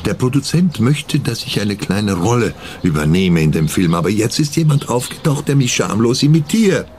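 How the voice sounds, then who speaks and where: A man's voice: fairly low pitch, big pitch swings, moderate pace, darkish tone, slight background noise. A man playing a proud, indignant older ex-burglar in a radio play, boastful and self-important. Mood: aggrieved. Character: proud, indignant aging ex-burglar, self-styled masked legend.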